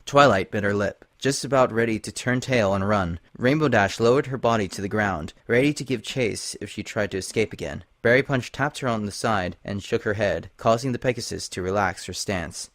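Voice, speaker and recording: A man's voice: lowish voice, moderate pace, hardly any pauses, dry recording. Man narrating a story, very dry recording. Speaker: audiobook reader.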